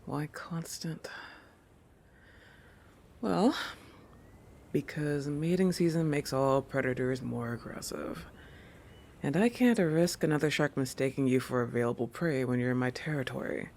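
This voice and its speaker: A possessive shark predator played by a man speaks slowly in a creaky voice, often sinking to a breathy whisper. The delivery is intimate roleplay audio, musing and oblivious, full of thoughtful hums. A faint rumbling noise sits underneath. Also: a little mellow. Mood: protective, clinical pretext.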